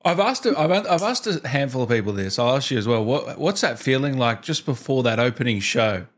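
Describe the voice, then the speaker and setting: A man's voice: mid-range voice, expressive, fairly quick. A man in his thirties hosting a casual interview, asking questions in a chatty way from a small studio. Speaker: interview host. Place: small indoor home studio. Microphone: desk microphone with headset.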